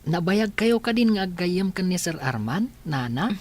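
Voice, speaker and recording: A woman's voice: on the low side, very animated, moderate pace. A woman with a Filipino accent playing a cheeky, affectionate young village boy in a radio comedy drama. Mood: teasing.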